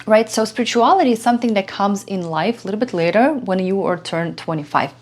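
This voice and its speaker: A woman's voice: medium pitch, brisk. A woman in her thirties from Belarus, a Russian speaker, explaining numerology in a chatty way full of fillers and restarts. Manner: chatty, many fillers and restarts, frequent "right?". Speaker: host, numerology coach. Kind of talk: casual explanatory talk.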